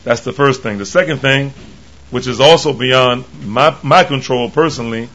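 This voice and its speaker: A man with a hoarse, worn voice gives a religious lecture to a congregation. He speaks haltingly, pausing and restarting often, and his pitch sits in a middle range. The recording sounds dull and muffled. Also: a bit bright. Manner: halting, with many pauses and restarts. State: tired from travel. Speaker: imam giving a lecture.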